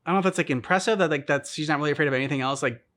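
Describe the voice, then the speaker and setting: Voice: mid-range voice, rapid-fire, a bit bright. A reaction video host chatting casually about a game in hesitant, meandering phrases.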